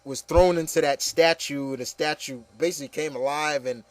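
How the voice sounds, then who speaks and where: A man's voice: fairly high pitch, steady tone, a little fast, dry recording. A man hosting a casual panel chat about movies, talking in a rambling, enthusiastic way and often saying "you know". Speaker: host of an opinion show.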